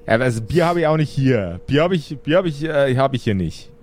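A man's voice: medium pitch, lively intonation, brisk, darkish tone, pitched below the performer's own voice, lightly acted. A man playing a chatty, irritable necromancer in improvised tabletop role-play, rambling and repeating himself in crude slang. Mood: chatty, brusque. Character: chatty, brash, irritable necromancer running a business.

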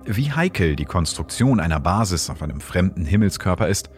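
A man narrates a scripted science segment in a very low, measured voice. He explains events carefully. Soft music plays quietly underneath. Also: sing-song. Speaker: host and astrophysicist.

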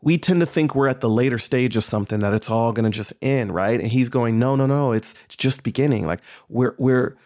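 A man's voice: medium pitch, a little fast, hardly any pauses, a little mellow. A man speaking as a podcast guest, casual and reflective, with a self-deprecating way of talking.

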